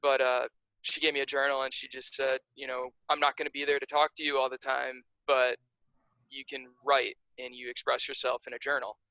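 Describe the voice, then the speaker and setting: A young man with a Chicago accent talks through his story as a podcast guest, loose and full of ums and restarts. His voice is bright and forward, and the sound is dry, with no room to it. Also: mid-range voice, fairly quick, clear, resonant tone, dry recording, low-quality recording. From Chicago area, USA. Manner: relaxed, rambling, many fillers and restarts. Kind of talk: casual interview chat.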